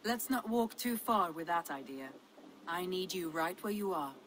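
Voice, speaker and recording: A shrewd Viking counsellor, with a slightly low voice. She is composed, earnest and direct in the style of a game drama, with faint ambient noise around her. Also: lively intonation, normal speed, fairly bright tone, poor audio. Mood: composed, concerned. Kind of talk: dramatic video game acting.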